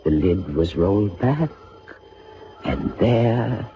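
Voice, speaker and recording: An actor playing a haunted man who narrates his past in a radio drama, measured and solemn. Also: on the low side, animated, dark tone, slight background noise. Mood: somber, suspenseful. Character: haunted father looking back, somber narrator. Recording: muffled old radio recording with music.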